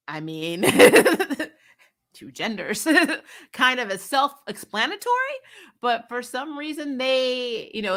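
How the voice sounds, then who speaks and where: A woman's voice: fairly high pitch, pushed and bright. A woman hosting a casual, unscripted review show, talking bluntly and animatedly and acting out little quoted asides. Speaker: host of a TV episode review show. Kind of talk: casual unscripted review chat with viewer comments.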